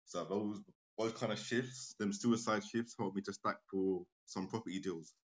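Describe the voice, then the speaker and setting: A man talking as a guest on a video call, relaxed and rambling, often agreeing. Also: lowish voice, normal speed. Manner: relaxed, affirming, rambling with fillers. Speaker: guest property investor. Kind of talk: casual online interview chat.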